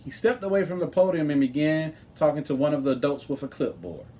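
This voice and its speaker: A man's voice: fairly high pitch, quick pace, warm tone. A man reading a children's chapter book aloud as scripted narration. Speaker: storybook reader.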